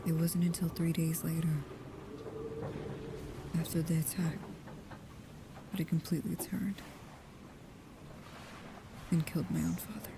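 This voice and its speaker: A woman playing a guarded, protective vampire with a painful past, speaking slowly, softly and hesitantly, often in whispers, in an intimate ASMR roleplay. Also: low voice, fairly even intonation, noisy background. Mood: somber, confessing.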